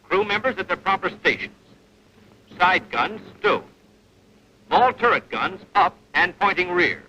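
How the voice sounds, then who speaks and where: Guy narrating an old 40s army training film, lively voice, lots of background noise. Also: high-pitched, a little slow. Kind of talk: scripted narration of 1940s military training film. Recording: noisy old 1940s film soundtrack.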